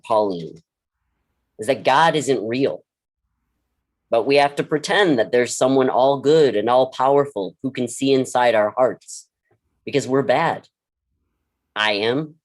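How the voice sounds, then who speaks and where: An actor in a staged play reading, voicing a blunt, world-weary mentor who advises a troubled student in a deliberate, earnest, dryly frank way. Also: mid-range voice, lightly acted.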